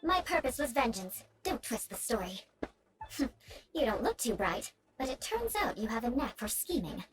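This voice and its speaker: A woman's voice: on the high side, very animated, normal speed, music in the background. A woman voicing a proud, vengeful young female knight in a video game, with haughty, theatrical, formal delivery. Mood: haughty, teasing.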